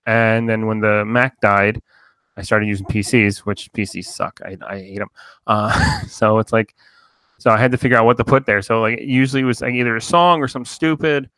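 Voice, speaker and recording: Rambling casual podcast host, dry close mic. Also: male voice, lowish voice, big pitch swings, a little fast, sharp tone, clean tone, dry recording. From New Jersey. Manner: rambling, loose, swears freely, lots of fillers.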